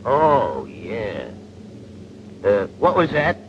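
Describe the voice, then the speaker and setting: A brash young-rookie type of bomber gunner in a 1940s animated training film. He is uneducated and slangy, swinging from puzzlement to bragging with hugely varied pitch. The old soundtrack is dull, with some noise. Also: drawn-out words, exaggerated voice. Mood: puzzled. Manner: slangy, ungrammatical, hesitant then boastful.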